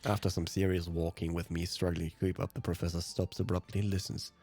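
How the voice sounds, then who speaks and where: A native German man with a very low, creaky voice reads out visual novel narration as a let's play host. His delivery is husky, with soft game music underneath. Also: little pitch movement, quick pace.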